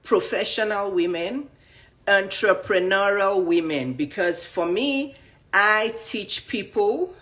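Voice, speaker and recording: A woman's voice: mid-range voice, lively intonation, slow, a bit bright. A woman, a coach talking bluntly and emphatically to the camera, rattling off lists of questions. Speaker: dating and life coach. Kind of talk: direct-to-camera coaching talk.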